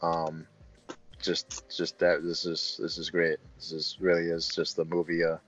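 Deep-voiced man hosting a casual review. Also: monotone, a little slow, fairly bright tone. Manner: informal, joking. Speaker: review show host. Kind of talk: casual film review chat. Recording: slightly dull, roomy sound with faint music.

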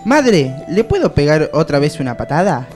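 A woman's voice: low-pitched, very animated, moderate pace, darkish tone, faint music behind. A woman playing a spiteful, dim young stepsister in comic radio theatre, mocking.

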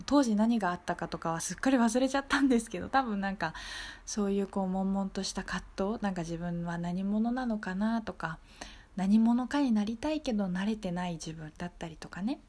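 A woman's voice: medium pitch, darkish tone. Woman radio host musing aloud. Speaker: radio show host and singer-songwriter. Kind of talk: casual, reflective solo radio talk.

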